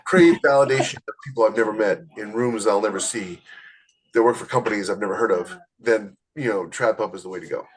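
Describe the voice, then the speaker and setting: A man giving casual, blunt advice as a podcast host, plain-spoken, with a slightly husky voice. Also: fairly low pitch, brisk, poor audio. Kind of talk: casual advice chat about book publishing.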